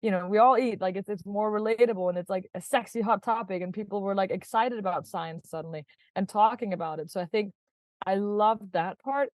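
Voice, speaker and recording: A woman's voice: medium pitch, a little fast, darkish tone, no echo. Chatty Danish-born woman, dry sound. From Denmark. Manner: chatty, laughs often, many "like" and "kind of" fillers. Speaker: guest, startup founder. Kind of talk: casual podcast interview.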